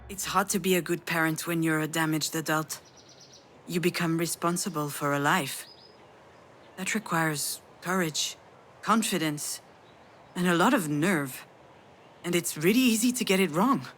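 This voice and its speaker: A grieving, tender mother with a low voice, speaking in a confiding, reflective way. She hesitates as she opens up, in the manner of a dramatic video game monologue. Clear background ambience sits behind her. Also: measured pace, pauses often, a bit bright, a little hiss or hum.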